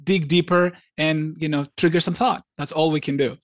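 A man from Greece co-hosting a podcast in casual chat, talking haltingly with many restarts and fillers. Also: on the high side, steady tone, dry recording. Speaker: podcast co-host.

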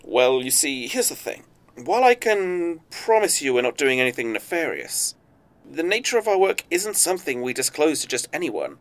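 A polite spy boss acted by a man in an audio drama. His manner is formal and measured, with menace veiled under courtesy, and his pitch moves around freely as he talks. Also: very animated. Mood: guarded, courteous.

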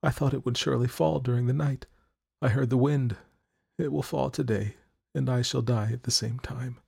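A man voicing a sick young woman who speaks softly and wearily, raised in pitch from his own voice. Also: fairly even intonation, moderate pace, vocal fry, husky, dry recording, studio quality. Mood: resigned. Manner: soft, quiet, resigned. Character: gravely ill young woman, weary and hopeless.

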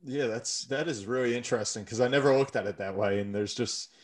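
A man with a medium-pitched voice chats as a podcast interviewer. His phrasing is informal and agreeable, feeling its way through each thought. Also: fairly quick. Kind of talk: casual chat.

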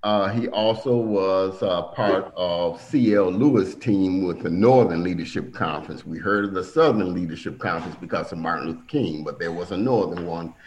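A middle-aged man telling family stories in a casual conversation, animated and acting out quotes. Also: moderate pace, few pauses. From Milwaukee. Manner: animated storyteller, acts out quotes with big pitch jumps.

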